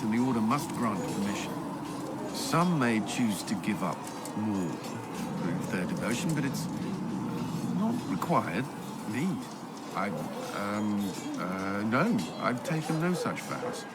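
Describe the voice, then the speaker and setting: A fairly deep male voice over loud music. an earnest former templar turned commander in a fantasy game. He is formal, reserved and measured in how he speaks. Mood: flustered. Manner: formal, measured, awkwardly hesitant when flustered. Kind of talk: video game character dialogue.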